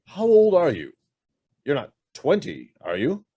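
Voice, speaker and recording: A man's voice: a little high, huge pitch swings, a little slow, mellow, slightly rough, full character voice. An actor playing a curt, demanding middle-aged businessman who is suspicious and hiding something, in a radio drama. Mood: uneasy, probing. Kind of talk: stage-style radio drama acting.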